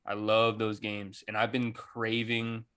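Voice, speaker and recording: A man with a fairly low voice speaks as a co-host in a loose gaming chat. He is enthusiastic and slangy, and he swears freely. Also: completely flat delivery, slow, a bit bright, clean tone.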